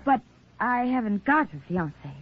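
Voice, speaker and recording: An actress playing a composed, affectionate young daughter in a 1940s radio drama. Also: female voice, fairly high pitch, sing-song, normal speed, husky. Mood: soft, affectionate. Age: young adult. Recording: dull, slightly noisy 1940s radio recording.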